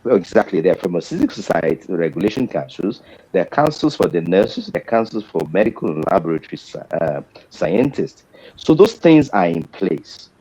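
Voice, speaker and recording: Middle-aged Nigerian male doctor, low voice, measured and pausing, panel guest. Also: sing-song, dark tone, breathy edge, rough recording. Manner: deliberate, with pauses and restarts.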